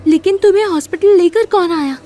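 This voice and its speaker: A woman's voice: very high-pitched, fairly quick, mellow, soft background music. A woman acting an inquisitive, perceptive young friend in an audio drama, speaking earnestly. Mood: concerned.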